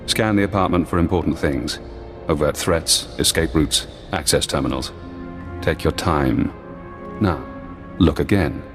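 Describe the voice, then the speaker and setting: Deep voiced paranoid spy genius, clipped and bossy, game cutscene, big reverb, loud music behind. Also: male voice. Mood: cool, controlling. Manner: measured, clipped, commanding. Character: paranoid, brilliant imprisoned ex-intelligence analyst, cool and controlling. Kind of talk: video game voice acting.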